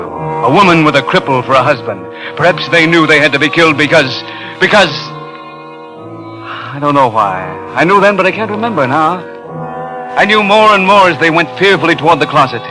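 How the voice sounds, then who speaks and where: An actor playing a tormented, obsessive killer confessing in a halting, brooding, intense way in a 1950s radio suspense drama. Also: male voice, fairly high pitch, natural voice. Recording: vintage 1950s radio recording, dramatic music.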